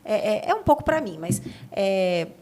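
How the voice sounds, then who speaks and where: A woman's voice: medium pitch, sing-song, normal speed, a little mellow. A woman with a Brazilian accent, hosting a podcast interview in a conversational style. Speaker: podcast host.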